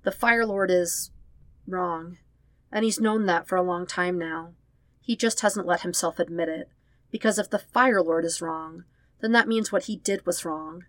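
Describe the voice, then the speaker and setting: A woman's voice: fairly low pitch, a bit bright, no echo. A woman reading fan fiction aloud as a scripted story narration. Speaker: podfic narrator.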